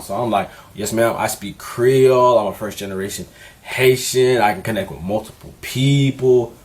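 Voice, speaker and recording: A man in his twenties vlogging casually, candid and relaxed, with plenty of fillers as he talks through his experiences. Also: fairly low pitch. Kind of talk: casual vlog advice.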